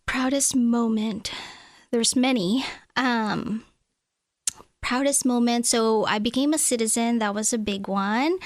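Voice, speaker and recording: A woman's voice: on the high side, slow, no echo, clean studio sound. A woman from the Philippines in her thirties, Tagalog her first language, chatting easily as a realtor guest in an interview and laughing often. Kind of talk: casual interview answers.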